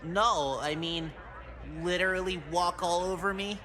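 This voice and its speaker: A man's voice: high voice, steady tone, a little slow, crowd noise. A man voicing an awkward, whiny teenage boy outcast who is easily flustered, in a video game. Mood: awkward, sheepish.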